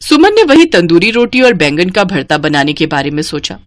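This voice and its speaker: A woman's voice: fairly low pitch, very animated, normal speed, a little hiss or hum. A woman reading a scripted family story as its narrator.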